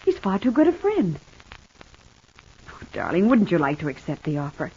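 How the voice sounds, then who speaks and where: An actress playing a devoted, gentle wife recovering in hospital in a 1950s radio soap, speaking tenderly and earnestly. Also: female voice, lowish voice, wildly swooping pitch, normal speed, husky, heavily acted. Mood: earnest, pleading. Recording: dull, band-limited old radio recording.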